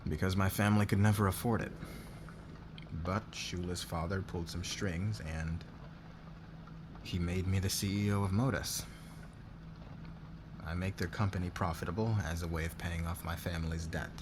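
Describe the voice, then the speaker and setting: A man plays a low-voiced nobleman boss in an intimate audio roleplay. He is demanding and guarded, worn down by debt, and talks in halting phrases with sighs. There is clear noise behind the voice. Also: steady tone, lightly acted. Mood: weary, confiding. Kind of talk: intimate ASMR roleplay acting.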